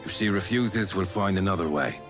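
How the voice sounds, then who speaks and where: Man acting deep, stern fantasy captain. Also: normal speed, close to an everyday voice. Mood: grave, commanding. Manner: formal, authoritative. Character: stern, measured male military captain. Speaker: video game character. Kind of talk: English game cutscene voice acting. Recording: music behind the voice.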